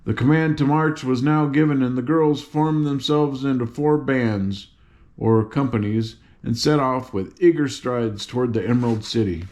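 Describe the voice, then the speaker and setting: A man's voice: medium pitch, normal speed, darkish tone. An older man narrating a children's story as a read-aloud, with informal little asides.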